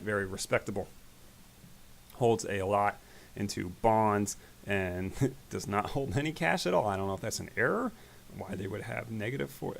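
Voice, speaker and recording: A man hosting an investing channel, talking casually through his stock portfolio in a rambling way, his voice growing raspy. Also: on the low side, slight background noise. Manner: relaxed, rambling, with fillers. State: voice getting raspy. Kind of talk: casual screen-share stock commentary.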